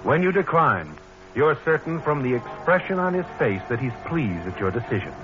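An actor playing an ominous, knowing narrator who addresses the guilty hero in a 1940s radio thriller. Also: male voice, mid-range voice, lightly acted. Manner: knowing, dramatic, addresses the character directly. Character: ominous male narrator needling the guilty protagonist. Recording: muffled old radio recording with music.

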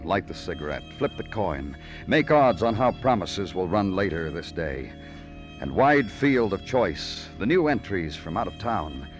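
Deep, hard-boiled radio detective. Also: male voice. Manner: terse, hard-boiled, measured. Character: world-weary, poetic male police detective. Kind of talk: old-time radio detective drama acting and narration. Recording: muffled vintage radio recording with music.

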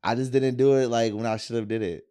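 A man's voice: mid-range voice, steady tone, fast, mellow. A man in his twenties hosting a podcast, talking in loose, slangy casual chat with lots of fillers. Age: 20s. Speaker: podcast host.

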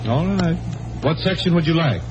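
Man playing breezy young doctor, higher voice, old radio. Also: expressive, moderate pace, background noise, close to an everyday voice. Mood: wry, teasing. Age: young adult. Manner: easygoing, teasing, wry. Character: breezy, wry young doctor, a footloose wanderer. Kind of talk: 1940s radio acting and narration. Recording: dull old radio recording with music.

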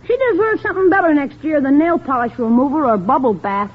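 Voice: sits high, very animated, fast. An actor playing an eager, wheedling young schoolboy and little brother in an old-time radio comedy. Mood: excited. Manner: pleading, exclaiming, wheedling. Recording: dull vintage radio recording.

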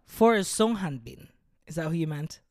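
A woman's voice: sits low, expressive, moderate pace, dry recording, studio quality. A woman co-hosting a casual chat show, dramatic and jokey, often breaking into mock sobbing. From Philippines. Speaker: podcast co-host.

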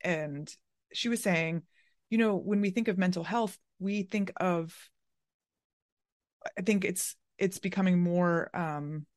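A woman's voice: on the low side, slow, pauses often, no echo, clean studio sound. A woman hosting an interview in a casual, thoughtful conversational style. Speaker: interviewer, podcast host.

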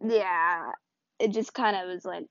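A woman's voice: lowish voice, a little slow, no room sound. A woman hosting a podcast in casual, rambling talk, her voice tired and strained. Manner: rambling, loose and chatty. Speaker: podcast host. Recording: dull lo-fi, dry sound.

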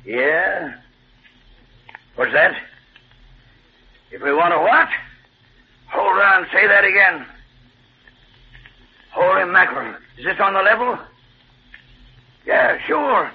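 A man playing an excitable, gruff and hearty police sergeant with slangy, blustery delivery in 1940s radio drama style. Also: high-pitched, full character voice. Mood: incredulous. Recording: tinny, hissy old radio recording.

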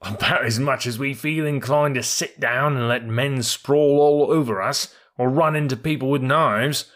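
A man acts out a stubborn, proud male mule from an animal storybook. He is quarrelsome and blusters indignantly, pitched higher than ordinary narration. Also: unhurried, fairly bright tone, natural voice. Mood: scornful.

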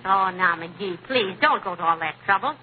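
An actress playing an affectionate, skeptical housewife, coaxing and wryly teasing in 1940s radio comedy style. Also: female voice, lowish voice, lively intonation, normal speed, close to an everyday voice. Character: fussing, affectionate, skeptical housewife, McGee's spouse. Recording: noisy, narrow-band old radio recording.

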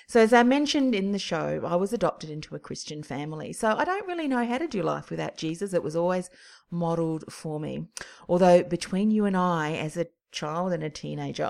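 Low, gravelly woman, earnest. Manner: earnest and heartfelt, with soft pauses. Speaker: podcast host. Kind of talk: personal faith story.

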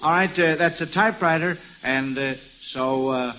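A man with a fairly high voice and an American accent hosts a vintage radio quiz. He is folksy and encouraging, often hesitating as he goes. Clear hiss covers the old broadcast recording. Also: normal speed, sharp tone, poor audio. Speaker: quiz show host.

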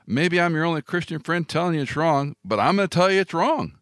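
A man's voice: a little high, expressive, fairly quick, a bit bright, dry recording, studio quality. A man hosting a theology talk show, speaking emphatically and building his points with rhetorical repetition. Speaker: radio talk show host. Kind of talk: conversational theology discussion.

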